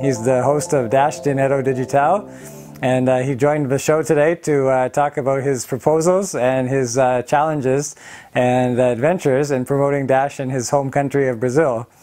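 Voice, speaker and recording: A man hosting an interview show, relaxed and pausing often with fillers. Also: medium pitch, soft background music. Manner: relaxed, with frequent "uh" pauses. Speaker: host.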